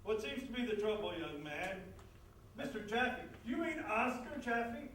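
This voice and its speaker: An unruffled train conductor, played by a man, with a very high-pitched voice. He is official and firm in a stage comedy, heard far from the mic in an echoey room. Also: very animated, normal speed, fairly bright tone, slight background noise, exaggerated voice. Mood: official, patient. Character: patient but firm male train conductor. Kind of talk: theatrical stage comedy acting.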